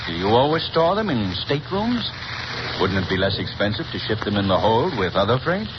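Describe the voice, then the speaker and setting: A man playing a composed, suave gentleman detective in a 1930s radio drama. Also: fairly low pitch, sing-song, brisk, lo-fi sound. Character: cool, confident gentleman detective. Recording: scratchy 1930s radio broadcast recording.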